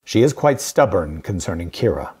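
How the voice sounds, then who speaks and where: A man plays a secretive, haughty guardian in an audio drama. The voice is deep and rough-edged, the delivery formal, dry and curt. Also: very animated, normal speed, dark tone, exaggerated voice. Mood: guarded.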